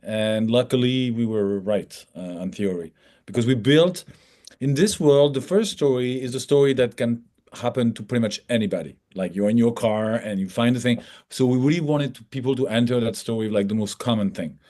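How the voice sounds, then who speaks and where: A man's voice: medium pitch, a little fast, warm tone. A man from France, a native French speaker, answering as a filmmaker in a casual, colloquial English interview with an explanatory manner. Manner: explanatory, colloquial, acts out hypothetical remarks.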